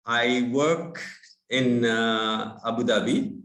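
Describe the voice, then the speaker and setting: Slow, halting man on a video call. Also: mid-range voice, fairly bright tone. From India. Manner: halting, many fillers, friendly. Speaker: guest speaker. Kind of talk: online talk. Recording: echoey room.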